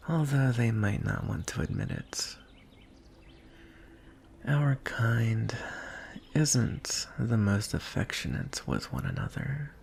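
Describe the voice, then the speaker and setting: A man voicing a lonely, courtly dragon in a fantasy ASMR roleplay, speaking softly and breathily in measured, formal phrases. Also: on the low side, a little hiss or hum, exaggerated voice. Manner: soft, hushed, breathy delivery with deliberate pauses. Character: lonely, courtly male dragon wooing a prince.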